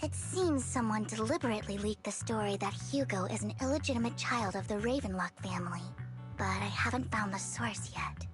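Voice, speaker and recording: A woman's voice: mid-range voice, a little fast, close to an everyday voice. A woman voicing a sweet, devoted and formal young woman in a video game, polite and earnest. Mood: serious briefing. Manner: polite, earnest, cutesy delivery. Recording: clean game dialogue with music behind.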